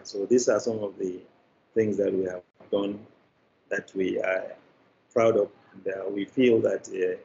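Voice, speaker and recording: An older man with a Nigerian accent answers interview questions in a low, mellow voice. He is on a video call indoors, so the sound is dull with faint noise behind it. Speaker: interview guest, media producer. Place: small furnished room indoors, video call. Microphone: video call, device mic.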